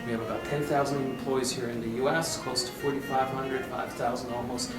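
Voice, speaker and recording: Man from Austria over loud music. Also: mid-range voice, level tone, a little fast. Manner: explanatory, emphatic, seeks agreement with "right?". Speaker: interview guest, corporate marketing executive. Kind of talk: conversational interview. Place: conference venue. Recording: echoey, distant mic, loud music behind.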